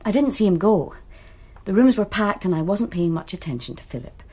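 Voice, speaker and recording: Cagey young student, short answers, dark husky tone, radio play. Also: female voice, medium pitch, expressive, fairly quick. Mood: guarded. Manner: curt, guarded. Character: young female student, guarded and blunt. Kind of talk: radio drama acting.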